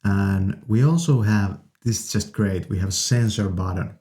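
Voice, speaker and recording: A man presenting an informal product demonstration in halting, piecemeal phrases. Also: lowish voice, warm tone. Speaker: presenter reviewing an audio interface.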